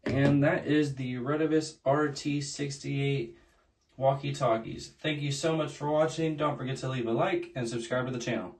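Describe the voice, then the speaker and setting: A man's voice: mid-range voice, fairly even intonation, moderate pace. A man hosting a casual product unboxing, explaining things plainly, step by step. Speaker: unboxing video host.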